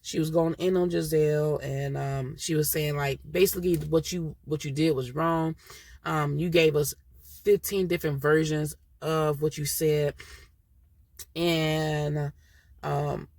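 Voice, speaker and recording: A woman's voice: sits low, flat delivery, unhurried, a little mellow. A woman with a stuffy nose from a cold, chatting casually and laughing often while reviewing a reality TV episode. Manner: chatty, laughs often, loose slangy talk. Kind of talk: casual chat.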